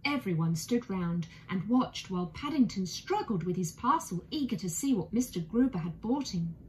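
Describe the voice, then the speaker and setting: A woman's voice: mid-range voice, a little mellow, a little hiss or hum, poor audio. A woman narrating a children's bedtime story expressively, as a storyteller.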